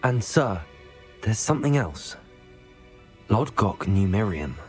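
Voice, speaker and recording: A man's voice: lowish voice, big pitch swings, takes it slowly, a little mellow, faint music behind. An actor playing a polite, earnest young wizard student reporting news to his teachers in a game. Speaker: game protagonist.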